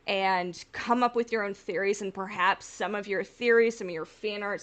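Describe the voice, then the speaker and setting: A woman co-hosting a podcast in casual, encouraging chat. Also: mid-range voice. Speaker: podcast co-host.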